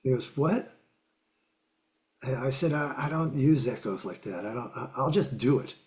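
An older man tells studio stories with gusto, mimicking voices and guitar sounds and breaking into laughter. His voice sits at a middle pitch. The webcam audio from his home music room is a little dull. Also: normal speed. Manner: animated storyteller, acts out quotes and guitar sounds, laughs often. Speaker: guest musician. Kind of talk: storytelling in a casual interview. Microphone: video call webcam.

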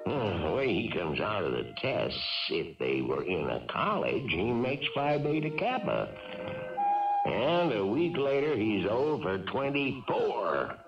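Very deep, gravelly old-school baseball manager. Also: male voice, sing-song, slow pace, loud music behind the voice, exaggerated voice. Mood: wry. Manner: blunt, slangy, wisecracking. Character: gruff, fatherly, wry older baseball team manager. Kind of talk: 1970s radio drama acting and first-person narration. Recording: muffled 1970s radio, distant, crowd behind.

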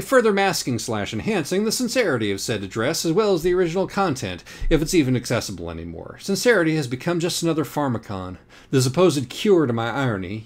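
A man reading scripted audiobook narration in a wry, deliberate, literary manner. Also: mid-range voice. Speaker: audiobook reader.

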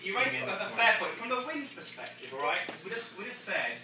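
A man's voice: sits high, big pitch swings, fairly quick, distant mic. A man coaching a group of sailors through a race debrief, explaining casually with many restarts and laughs. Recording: echoey room, from afar, faint noise.

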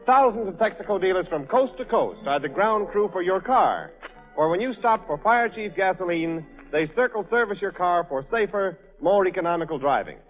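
A man's voice: high-pitched, expressive, moderate pace, faint music behind. An American man reading a 1930s radio advertisement as an announcer. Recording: tinny, reverberant 1930s radio recording.

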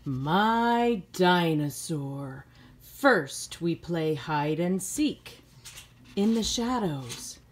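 A woman's voice: fairly low pitch, lively intonation, drawn-out words, a little hiss or hum. A middle-aged woman reading a children's picture book aloud expressively, with simple phrasing for young listeners.

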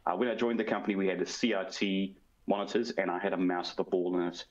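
A man's voice: low-pitched, flat delivery, quick pace, highs cut off. A man in his forties with a South African accent, a guest answering interview questions over a video call from home, fluent but with frequent ums and uhs. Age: 40s. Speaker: guest, product strategy manager. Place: home room. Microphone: headset on video call.

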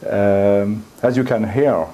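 Middle-aged male, French accent, unhurried academic lecture, hesitant and repetitive phrasing. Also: fairly low pitch, mellow. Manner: halting, repeats words while searching for phrasing. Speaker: guest lecturer. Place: university lecture room, indoors.

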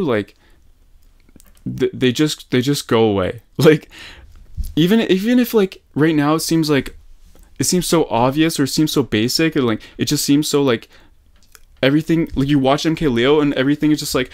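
A man's voice: a little high, expressive, moderate pace. A young man coaching casually on a call, slangy and encouraging, with emphatic repetition, at a desk mic in a small room at home. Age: young adult. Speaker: gaming coach. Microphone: desktop condenser mic on desk.

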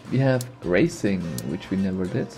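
A man's voice: deep voice, expressive, moderate pace, mellow, faint music behind. A man with a slight sniffly cold, casually commenting on a game he plays and thinking aloud as he goes. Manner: thinks aloud, muses and repeats himself. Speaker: let's play host.

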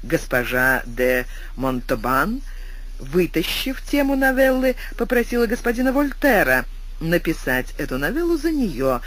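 A man reading a classic tale aloud as an audiobook narrator, in expressive scripted storytelling. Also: a little high, unhurried, slight background noise.

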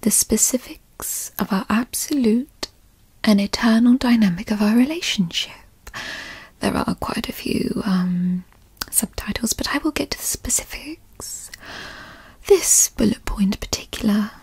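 A woman voicing a doting, possessive yandere girlfriend in a breathy whispered ASMR roleplay, coaxing and coyly controlling with formal wording. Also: a little high, a little slow, darkish tone, dry recording, full character voice. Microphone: close microphone, dry sound.

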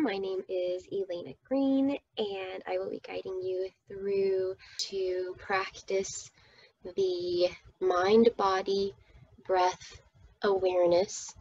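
A woman leading a guided meditation as its teacher, speaking soothingly and often dropping toward a breathy whisper. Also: mid-range voice, warm tone, lo-fi sound, slight background noise.

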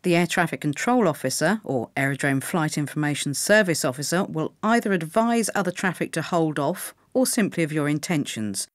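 A woman reading scripted instructional narration for pilot training in a measured, clearly enunciated way. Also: sits low, lively intonation, no echo, clean studio sound.

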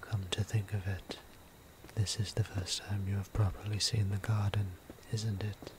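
A man playing a gentle, courteous vampire priest in an ASMR roleplay, speaking softly and breathily in a formal, soothing way. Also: lowish voice, level tone, background noise, close to an everyday voice. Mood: gentle, tender. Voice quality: soft, breathy near-whisper. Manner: slow, deliberate, formal, soothing. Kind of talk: intimate ASMR roleplay acting.

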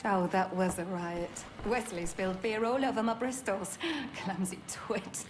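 An actress playing a wry, foul-mouthed British resistance agent posing as a nurse in a video game, brisk, dry and cheeky. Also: female voice, medium pitch, steady tone, over music, natural voice. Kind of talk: video game cutscene acting.

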